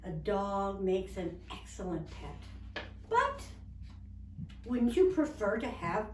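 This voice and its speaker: Woman playing an animated, eager animal shelter salesman. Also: mid-range voice, takes it slowly, echoey room, far from the mic, a little hiss or hum. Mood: eager, coaxing. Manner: coaxing, exclamatory sales patter.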